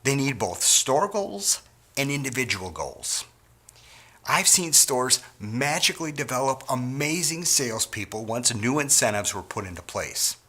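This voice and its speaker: A middle-aged man from Wisconsin giving a scripted sales training talk to store owners in an earnest, measured way. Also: mid-range voice, big pitch swings, bright, forceful tone, husky.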